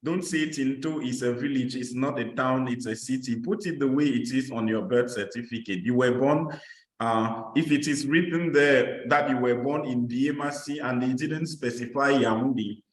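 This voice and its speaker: A man's voice: mid-range voice, normal speed, echoey room, poor audio. A Cameroonian man mentoring teachers on a video call, walking through an application form with repeated examples and many hesitations. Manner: explanatory, repeats points with examples, frequent uh fillers. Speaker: mentor presenting application guidance.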